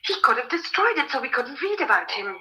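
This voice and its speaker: An actress playing a sensible, anxious young British farmer's daughter in a radio drama, brisk and earnest. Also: female voice, sits high, big pitch swings, sharp tone, full character voice, poor audio. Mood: urgent, suspicious.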